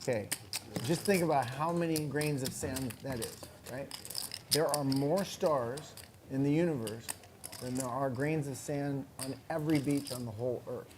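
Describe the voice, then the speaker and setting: Man, explainer at a card table, lecturing, average pitch, noisy room. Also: moderate pace. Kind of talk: casual table chat. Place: casino poker room. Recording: noisy room with clicking chips.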